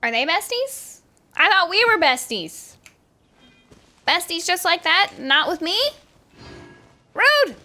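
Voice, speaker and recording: Female VTuber: high, very animated, playful gameplay chatter with drawn-out exclamations, faint music behind. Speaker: game streamer, VTuber. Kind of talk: casual gameplay commentary.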